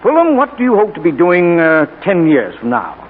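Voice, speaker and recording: Self-important agency chief, highish and very animated, 1940s radio drama, tinny recording. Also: male voice, moderate pace. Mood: pompous. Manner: pompous, emphatic, self-important. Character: pompous, hearty male advertising agency boss. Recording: tinny, faintly noisy old radio recording.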